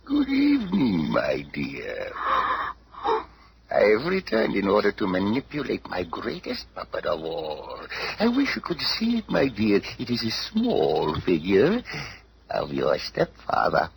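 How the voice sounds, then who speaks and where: A man playing a megalomaniac older villain and cruel stepfather in a 1970s superhero radio drama, gloating with theatrical, drawn-out delivery. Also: lowish voice, wildly swooping pitch, gravelly, husky, full character voice. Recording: noisy old radio recording.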